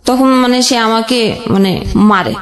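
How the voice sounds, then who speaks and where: A Bangladeshi woman recounts her own experiences in a higher-pitched voice. She speaks plainly and haltingly, often rephrasing as she goes. The sound is roomy, with clear echo. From Jashore region, Bangladesh. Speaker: guest telling her life story. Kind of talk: personal storytelling in conversation.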